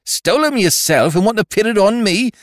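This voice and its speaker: A man putting on a shrill indignant chambermaid. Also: lively intonation, brisk, dry recording. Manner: shrill, scolding, common speech. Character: shrill indignant young chambermaid, sharp-tongued.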